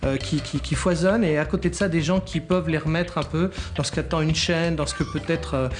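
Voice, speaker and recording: A man's voice: fairly high pitch, fairly even intonation, brisk. A man giving interview remarks, hesitating and repeating words as he thinks.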